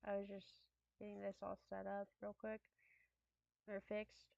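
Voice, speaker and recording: A woman livestreamer chatting casually, in a hesitant, halting way. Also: medium pitch, monotone, vocal fry, warm tone, dry recording. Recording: thin phone-like lo-fi audio.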